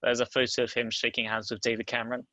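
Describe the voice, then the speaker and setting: A man's voice: medium pitch, completely flat delivery, quick pace, bright, forceful tone, no room sound. A man reading stage directions as scripted narration at a table read.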